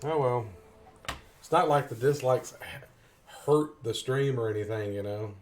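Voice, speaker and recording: A man's voice: mid-range voice, measured pace, slight background noise. Joking Southern man chatting on stream. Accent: Southern US (Tennessee). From Tennessee, US. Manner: joking, rambling, chuckles often. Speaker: live streamer. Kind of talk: casual live-stream chat to viewers.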